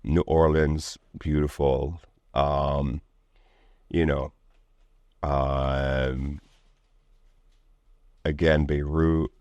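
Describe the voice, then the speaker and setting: A man's voice: rumbling low, flat delivery, drawn-out words, pauses often, a little mellow, no echo, muffled recording. A man from Lebanon speaking as an interview guest in a casual, rambling way, full of filler words like "like" and "you know".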